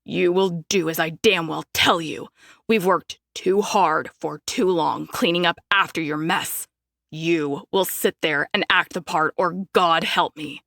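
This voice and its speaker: A woman narrator plays a stern older father in an audiobook. He speaks low, slow and cold, giving orders. The recording is dry and close. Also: vocal fry, fairly bright tone, no echo. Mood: threatening. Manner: cold and commanding.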